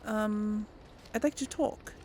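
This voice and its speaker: A woman's voice: medium pitch, takes it slowly, dark tone, a little hiss or hum. A woman doing casual let's-play commentary, musing aloud and replying in role to game characters. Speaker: gaming host role-playing through a game.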